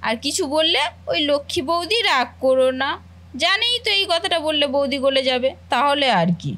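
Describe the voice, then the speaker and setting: A woman's voice: high-pitched, lively intonation, fairly quick, fairly bright tone. A woman voicing a caring, fussing sister-in-law and housewife in a family cartoon. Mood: fond scolding. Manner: affectionate, fussing, motherly. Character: caring, doting elder sister-in-law, housewife, gently scolding. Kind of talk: Bengali family cartoon voice acting.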